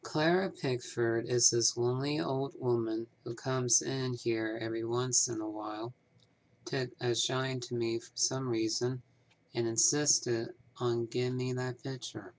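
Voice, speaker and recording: A man voicing an evasive, troubled small-town bank manager in a game, speaking in a measured, formal way. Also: medium pitch, steady tone, close to an everyday voice, poor audio. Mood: guarded, evasive. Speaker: amateur voice actor. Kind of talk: scripted game character dialogue, fan performance.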